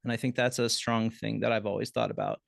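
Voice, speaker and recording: Guy on a panel, creaky voice, reflective and a bit tentative, dry close sound. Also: lowish voice, flat delivery, fast, no room sound.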